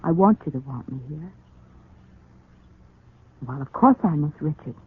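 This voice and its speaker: An actress playing a devoted, firm young wife in a 1940s radio soap opera, earnest, insistent and affectionate. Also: female voice, sits low, expressive, measured pace, breathy edge, heavily acted. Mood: firm, reassuring. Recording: muffled, echoey 1940s radio broadcast recording.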